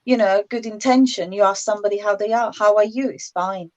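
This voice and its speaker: A woman's voice: medium pitch, fairly even intonation, a little fast, darkish tone, muffled recording. A woman, explaining English usage casually as a guest in a language chat.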